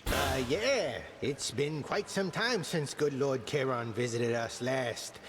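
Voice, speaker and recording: A man's voice: medium pitch, takes it slowly, long reverb tail, a little hiss or hum, lightly acted. A man playing an amiable, slightly bumbling commander in a video game, with a hesitant, informal delivery. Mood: unsure, amiable. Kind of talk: scripted video game dialogue.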